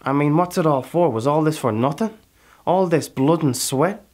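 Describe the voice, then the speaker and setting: A fairly low-pitched Irish man, hardened and restless as a male actor plays him in a theatrical monologue. He is a proud, brooding settler with blood on his hands. He speaks deliberately, leaving pauses and putting questions to his listener. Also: fairly even intonation, lightly acted. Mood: impassioned, anguished.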